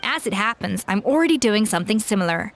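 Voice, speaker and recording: A woman plays a professional female chef in a video game. She is composed and exacting, with a medium-pitched voice. Her delivery is polite, precise and matter-of-fact. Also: lively intonation, fast talker, over music, natural voice.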